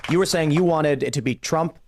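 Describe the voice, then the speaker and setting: A man's voice: on the high side, brisk, background noise. A man debating in a conversational political discussion, emphatic and ready to cut in.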